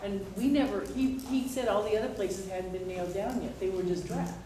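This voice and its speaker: A woman's voice: medium pitch, fairly even intonation, brisk. A woman on a town council in informal discussion at a public meeting. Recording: echoey room, distant mic, background noise.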